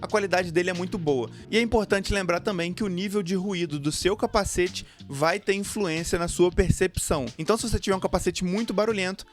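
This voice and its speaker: High-voiced Brazilian man reviewing gear. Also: brisk, few pauses. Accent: Brazilian Portuguese. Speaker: motorcycle gear review video host. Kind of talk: product review narration to camera or voice-over. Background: background music.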